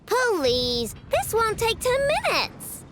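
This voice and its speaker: A woman's voice: extremely high pitch, wildly swooping pitch, takes it slowly, fairly bright tone, slight background noise, full character voice. A woman voicing a proud, prickly young girl inventor in a video game. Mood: flustered boastful. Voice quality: bratty, boastful.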